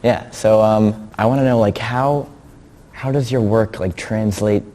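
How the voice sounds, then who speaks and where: A man's voice: fairly low pitch, steady tone, measured pace, dark tone, in a large hall. A teenage boy interviewing an artist, asking hesitant questions full of "um" and "like". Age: teens. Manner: hesitant, many "um" and "like" fillers. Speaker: teen interviewer. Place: gallery.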